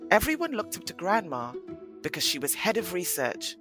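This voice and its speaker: A woman narrates an audio play in a slightly low voice. Her storytelling is expressive, with lots of melodic movement in the pitch. Soft music plays faintly underneath. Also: normal speed.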